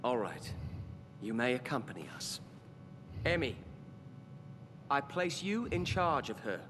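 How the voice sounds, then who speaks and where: Young noble commander for fantasy game: courteous, formal, expressive pitch, long reverb with music underneath. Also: male voice, measured pace, noisy background. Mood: composed, commanding. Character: formal, courteous young lord giving orders. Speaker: video game character. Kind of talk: dramatic game cutscene acting.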